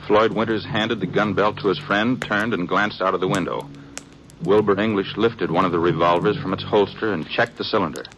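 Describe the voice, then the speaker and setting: An actor as the solemn storyteller of an old radio western, reading scripted narration. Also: male voice, low voice, steady tone, a little fast, fairly bright tone, highs cut off, soft background music. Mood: grave, suspenseful.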